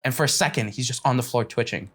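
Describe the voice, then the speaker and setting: A man acting as game master, improvising vivid, animated narration for a tabletop game. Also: medium pitch, quick pace, very noisy.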